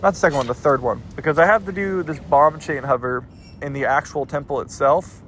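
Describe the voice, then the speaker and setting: A man's voice: fairly high pitch, a little fast, a bit bright, slight background noise. A man giving casual let's-play commentary, a little stuffed up, rambling and often correcting himself mid-sentence. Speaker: gameplay commentator.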